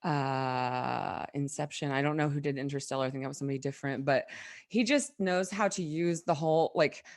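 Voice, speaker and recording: Low-voiced enthusiastic woman co-host. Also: brisk. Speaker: talk show co-host. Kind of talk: casual chat.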